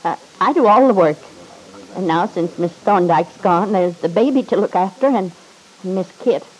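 An actress playing a timid, good-hearted older housekeeper who hesitates and stammers deferentially in an old-time radio drama. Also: female voice, on the low side, normal speed, slightly rough, rough recording. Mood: flustered, eager.

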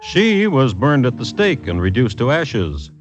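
An actor playing a wry, formal true-crime host, narrating deadpan in 1950s radio style. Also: male voice, medium pitch, unhurried, soft background music. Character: dry, droll male true-crime storyteller hosting the show. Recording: dull old radio recording.

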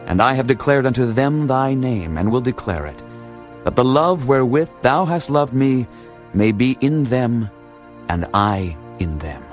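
Guy acting a solemn devout teacher in a bible audio drama, expressive, measured, music underneath. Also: mid-range voice, natural voice. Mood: prayerful, solemn. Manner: measured, earnest, solemn scripture delivery. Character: Jesus, solemn, devout, authoritative male teacher. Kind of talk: dramatized King James scripture acting. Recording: music bed behind voice.

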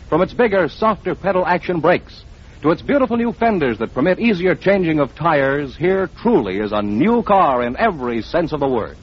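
A man's voice: fairly high pitch, husky. A man announcing on vintage radio, reading scripted copy in a polished mid-century advertising style. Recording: dull vintage radio recording.

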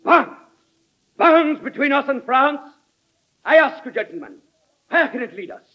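An actor playing a combative, sharp-tongued cabinet secretary making speeches in old-time radio drama style. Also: male voice, extremely high pitch, lively intonation, unhurried, soft background music. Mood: oratorical. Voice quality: oratorical. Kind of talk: 1940s radio acting. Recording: muffled old radio recording.

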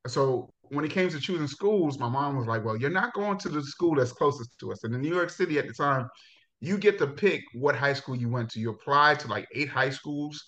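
A man's voice: mid-range voice, a little fast. Jamaican-born man telling stories, lo-fi sound. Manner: relaxed storytelling, frequent laughs and restarts. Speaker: guest, high school football coach. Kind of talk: casual interview. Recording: dull lo-fi sound.

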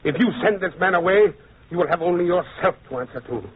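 An actor playing an indignant, principled judge, earnest and oratorical, in an old-time radio drama. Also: male voice, sits high, animated, fast talker, slightly rough. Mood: grave, scornful. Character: indignant, principled French judge turned defence barrister. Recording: tinny, narrow old radio recording.

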